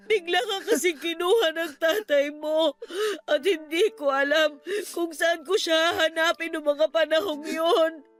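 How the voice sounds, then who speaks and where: A woman's voice: very high voice, fairly even intonation. A woman playing an emotional, pleading mother in tearful Filipino radio drama, with a Filipino accent. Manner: emotional, pleading, drawn-out delivery. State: crying. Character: tearful, pleading older mother reunited with lost son. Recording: music under the voice.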